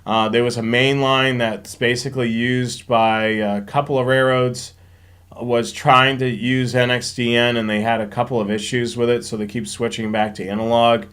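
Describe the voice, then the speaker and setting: A man's voice: medium pitch, flat delivery, normal speed, a bit bright, clean tone. A man hosting a live show, chatting informally and explaining things as he answers questions, thinking aloud as he goes. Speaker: host of a railfanning live show. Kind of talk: casual live-stream question and answer chat.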